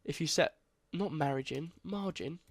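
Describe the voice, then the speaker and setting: Congested young guy doing a coding tutorial, chatty, keeps correcting himself, bit high voice. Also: measured pace, dry recording. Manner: casual, chatty, self-correcting. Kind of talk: screen-recorded coding tutorial.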